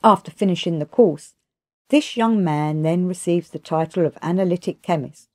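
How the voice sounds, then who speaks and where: A woman narrating a literary audiobook in a measured, formal, deliberate storytelling manner. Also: lowish voice, dark tone, dry recording, studio quality. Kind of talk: scripted literary narration, storytelling read aloud.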